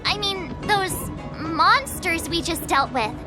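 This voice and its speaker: A woman's voice: extremely high pitch, lively intonation, takes it slowly, heavily acted. A woman voicing a curious, friendly young female adventurer in a video game, with a girlish, inquisitive delivery. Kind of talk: English video game voice acting. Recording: loud music behind the voice. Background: game music.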